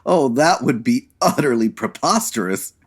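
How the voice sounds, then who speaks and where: A man playing a nosy, pushy hotel bellboy with a husky, fussy, officious delivery in old-time radio comedy style. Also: mid-range voice, very animated, moderate pace.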